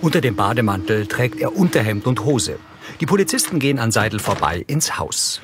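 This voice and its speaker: A man's voice: medium pitch, sing-song, a little fast, music in the background. A man reading scripted audio description narration in a neutral, matter-of-fact way. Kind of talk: scripted present-tense narration.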